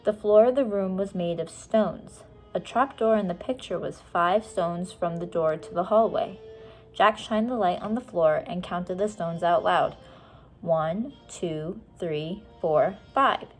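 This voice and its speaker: A woman's voice: fairly low pitch, darkish tone. A woman reading a children's storybook aloud expressively, explaining things simply and casually to young listeners.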